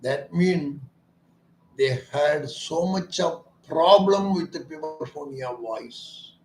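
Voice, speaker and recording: A man speaks as a doctor, slow and deliberate, with a fairly high voice for a man. He is earnest and emphatic, stressing his points and turning them into questions, and the room around him sounds echoey. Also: darkish tone, soft background music. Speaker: ENT doctor treating voice disorders. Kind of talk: explanatory talk about a clinic's treatment.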